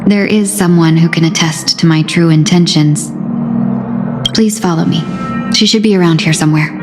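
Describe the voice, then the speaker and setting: An actress playing a gentle, composed young woman merchant who speaks softly and graciously in a game cutscene. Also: deep voice, normal speed, natural voice. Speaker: game character. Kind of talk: dubbed game cutscene acting. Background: loud game music.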